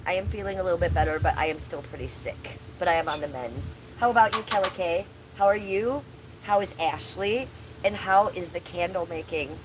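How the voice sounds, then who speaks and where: A woman's voice: on the low side, background noise. A woman chatting casually on a livestream from her backyard, sick and losing her voice a little, loose and swearing now and then. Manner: chatty, loose, swears casually, talks to chat viewers by name. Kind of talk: casual livestream chat outdoors. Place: backyard under trees, outdoors.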